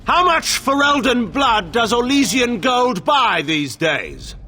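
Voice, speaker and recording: An actor playing a proud, aging warlord regent in a fantasy game, declamatory and scornful. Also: male voice, extremely high pitch, sing-song, takes it slowly, pushed and bright, soft background music, heavily acted. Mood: mocking accusation. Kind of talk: theatrical fantasy game acting.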